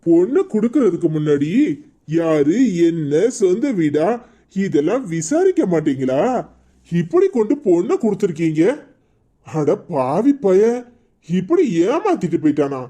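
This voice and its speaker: A man's voice: fairly high pitch, big pitch swings, measured pace, mellow. A man voicing a blunt, indignant older village man, scolding and exclaiming in a cartoon drama.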